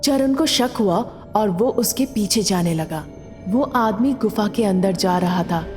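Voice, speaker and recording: A woman's voice: mid-range voice, a little slow, darkish tone, big-room sound, faint music behind. A woman narrating a scripted story as a storyteller.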